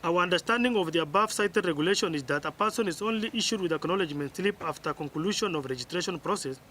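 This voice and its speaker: A man's voice: high-pitched, fast talker, bright, forceful tone, slight background noise. A man with a Kenyan accent formally reading out a legal ruling, often stumbling and repeating words. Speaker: electoral commissioner reading a ruling.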